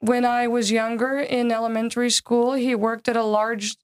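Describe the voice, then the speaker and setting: A woman's voice: a little high, flat delivery, normal speed, clear, resonant tone, dry recording. An American woman with English as her first language, answering interview questions in careful learner's Russian and in fluent English. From United States. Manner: speaks careful learner's Russian, laughs easily. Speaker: guest.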